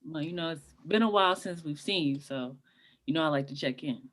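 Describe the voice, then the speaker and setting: A woman's voice: sits low, fairly quick, close to an everyday voice. A woman acting in a table read as a blunt, protective, skeptical friend of the groom, talking in direct, casual slang. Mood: insistent concern.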